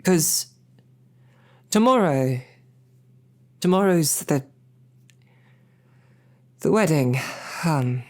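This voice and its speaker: A woman playing a bashful, smitten knight and royal guard in an intimate audio roleplay, speaking haltingly with flustered, self-deprecating rambling. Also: sits low, darkish tone.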